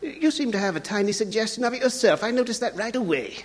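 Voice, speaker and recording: An actor playing a fussy, gossipy scholar in an audio drama, flustered and observant. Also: male voice, sits high, very animated, quick pace, a little hiss or hum. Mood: flustered, intrigued.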